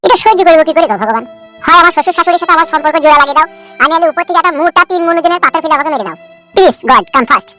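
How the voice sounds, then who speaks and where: A man voicing a shameless young son-in-law in a cartoon, pleading and foul-mouthed, with a pitched-up voice. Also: animated, racing, bright, forceful tone, soft background music, exaggerated voice. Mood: self-pitying lament.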